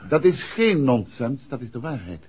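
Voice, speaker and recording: A shrewd gentleman detective, with a very husky voice that rises and falls widely. He is deliberate and insistent, in an old radio play with muffled sound. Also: mid-range voice, sing-song. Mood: probing, insistent. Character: shrewd, assured gentleman detective pressing his point. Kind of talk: 1960s Dutch radio play acting. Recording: dull, muffled vintage radio recording.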